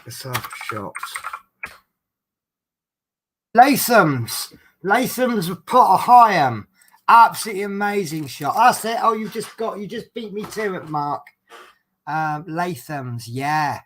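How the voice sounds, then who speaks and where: A man's voice: a little high, a bit bright. A man with a Norfolk accent, chatting casually and rambling with lots of ums while doing a craft at home. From England. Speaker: vlogger.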